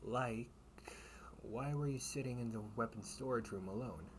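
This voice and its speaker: A man's voice: medium pitch, normal speed, lightly acted. A man playing a kindly, teasing knight captain in a comfort audio roleplay, speaking in courtly, old-fashioned phrases. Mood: gently concerned. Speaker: amateur audio roleplay performer. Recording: clearly noisy home recording with ambience.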